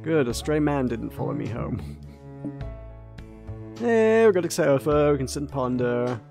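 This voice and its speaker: A man's voice: mid-range voice, lively intonation, a little slow, creaky, darkish tone, faint music behind. A young man chatting casually while streaming a game, rambling with lots of asides and fillers. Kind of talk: casual gameplay commentary.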